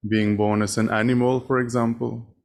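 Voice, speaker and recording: A man leading a guided meditation as a teacher, speaking in short, measured phrases with long pauses between them. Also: mid-range voice, level tone, creaky, slight background noise. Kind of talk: guided meditation, spoken instruction.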